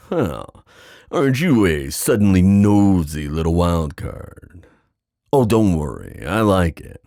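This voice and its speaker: A deep-voiced, playful giant sea god played by a man for an ASMR roleplay. He lingers over his words in a slow, theatrical, teasing way, and his pitch swings widely as he flirts. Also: creaky, darkish tone, dry recording, clean studio sound, exaggerated voice. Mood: wistful, candid, then teasing, amused. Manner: teasing, theatrical, drawn-out phrasing.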